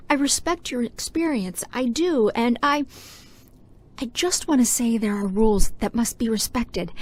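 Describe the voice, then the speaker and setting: A woman's voice: fairly high pitch, darkish tone. An actress playing an insecure, conciliatory woman sheriff in a video game, hesitant and easily flustered. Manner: hesitant, faltering.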